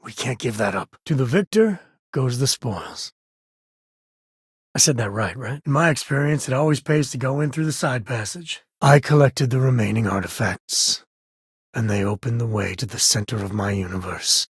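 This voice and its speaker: An actor playing a rugged, easygoing middle-aged space explorer and father in a video game, with a husky, folksy, wry, conversational delivery. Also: mid-range voice, moderate pace, dry recording, clean studio sound. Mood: casual, upbeat. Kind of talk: video game companion dialogue.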